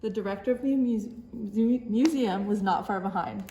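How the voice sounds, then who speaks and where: A woman reading a children's storybook aloud as expressive story time narration. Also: medium pitch, normal speed, a little hiss or hum. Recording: echoey room.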